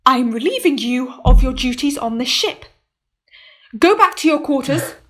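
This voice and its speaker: A woman voices a stern, commanding ship captain with a high voice. He gives clipped orders to his crew and reads his log in a radio play. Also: lively intonation, normal speed, fairly bright tone. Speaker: captain and diarist narrator.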